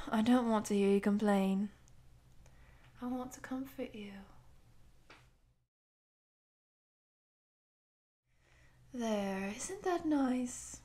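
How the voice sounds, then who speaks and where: An obsessive, sadistic giant woman, played by a female voice actor in an intimate ASMR audio drama. Her voice sits fairly high and moves very slowly. She coos and teases possessively, often sinking to a whisper as if talking to a tiny captive. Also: darkish tone. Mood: stern, then smothering affection. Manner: slow, teasing, cooing and possessive, often dropping to whispers.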